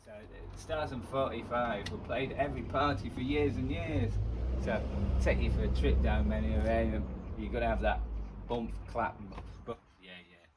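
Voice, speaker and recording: A man's voice: mid-range voice, steady tone, normal speed, noisy background. An older man from the UK, chatting casually and rambling, with many fillers as he reminisces. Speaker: vlogger. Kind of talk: casual chat to camera. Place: outdoors in a garden.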